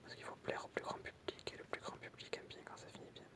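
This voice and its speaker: A person whispering in an ASMR style, reading out short pieces in a soft, explanatory way with casual asides. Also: quick pace. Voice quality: unvoiced, breathy whisper. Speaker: ASMR creator reading film trivia with commentary.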